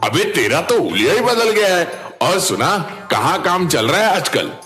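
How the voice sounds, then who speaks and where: A man's voice: on the high side, big pitch swings, moderate pace, pushed and bright. A man voicing a brash, chummy young friend in a cartoon, with slangy, teasing talk. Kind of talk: Hindi cartoon story dialogue. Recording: background music under voice.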